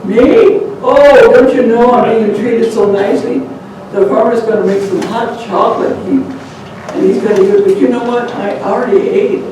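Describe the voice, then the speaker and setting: A man's voice: very high-pitched, lively intonation, moderate pace, a little mellow, pitched above the performer's own voice. A man voicing a small, sly trickster rabbit who teases and coaxes, in a folk tale told aloud. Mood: wheedling. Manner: teasing, wheedling, mocking. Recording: distant, echoey, noisy live room.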